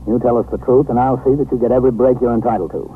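An actor playing a calm, reasonable American police captain in a matter-of-fact 1950s radio police drama. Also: male voice, medium pitch, rapid-fire, natural voice. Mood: calm, probing. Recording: noisy, tinny 1950s radio recording.

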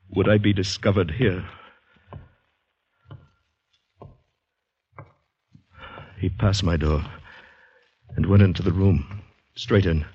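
A man's voice: sits low, a little mellow, slight background noise, close to an everyday voice. An actor playing a terrified, shell-shocked man on the run, narrating urgently in a vintage radio drama style. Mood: tense dread. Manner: dramatic, haunted, urgent delivery. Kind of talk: 1940s-50s radio drama acting, first-person narration. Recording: dull vintage radio recording, music behind.

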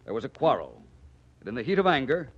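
An actor playing a suave, self-assured male detective who explains things politely and deliberately in 1940s radio drama style. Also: medium pitch, wildly swooping pitch. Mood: commanding. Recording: muffled, noisy 1940s radio recording.

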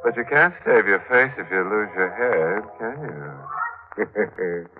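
Deep ghoulish old-radio horror host. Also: male voice, lively intonation, slow. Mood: gleefully morbid. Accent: American. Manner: sardonic, mock-cozy, teasing the listener. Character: ghoulish, tongue-in-cheek horror host with morbid puns. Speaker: host and narrator of a radio mystery show. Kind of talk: 1940s radio acting. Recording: scratchy 1940s radio, narrow band, music behind.

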